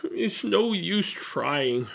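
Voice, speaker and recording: A reader voicing an earnest, self-doubting female number zero from a picture book, in an expressive, drawn-out delivery. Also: mid-range voice, vocal fry, full character voice. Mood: sad, discouraged.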